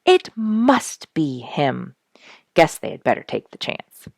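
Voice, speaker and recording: A woman reading a children's animal story aloud in an expressive, dramatic storyteller's manner. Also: low-pitched, slow, gravelly, no room sound, studio quality. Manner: dramatic, rhetorical questioning delivery.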